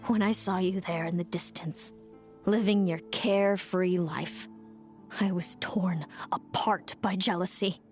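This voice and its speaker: A woman's voice: lowish voice, fairly even intonation, a little slow, darkish tone, natural voice. Woman acting wounded fae older sister over music. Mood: bitter, resentful. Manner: dramatic, wounded, confessional delivery. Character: bitter, tormented fae older sister with prophetic visions. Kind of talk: video game voice acting. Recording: music under the voice. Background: background music.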